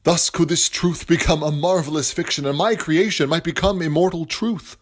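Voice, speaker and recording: A man's voice: on the high side, fairly even intonation, normal speed, close to an everyday voice. A man playing a passionate, grandiloquent playwright in a period audio drama, speaking in a florid, declamatory and earnest way. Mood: eager, persuasive. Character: passionate, grandiloquent male playwright scheming for material. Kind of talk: theatrical period stage-play acting.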